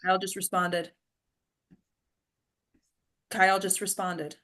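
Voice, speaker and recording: A woman's voice: mid-range voice, flat delivery, takes it slowly, fairly bright tone. A woman acting a bossy, frantic political aide in a comedy table read, commanding and exasperated. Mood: biting.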